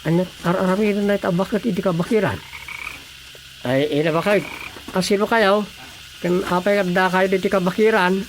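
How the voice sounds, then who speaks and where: Woman playing a bewildered, mid-pitched frog catcher. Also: a little fast, pauses often, a little hiss or hum, lightly acted. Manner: colloquial, exclamatory, bewildered. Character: bumbling, startled frog catcher out in the forest. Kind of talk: Filipino comedy radio drama acting.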